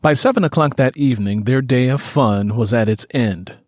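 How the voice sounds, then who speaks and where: A man reading a story as a scripted fiction narrator, in an expressive storytelling manner. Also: medium pitch, normal speed, no room sound, clean studio sound. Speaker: audiobook narrator.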